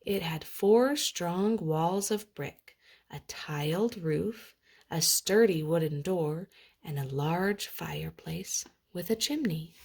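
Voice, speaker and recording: A woman reading a children's storybook aloud with expressive, dramatic narration. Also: lowish voice, slow pace, creaky, slightly rough.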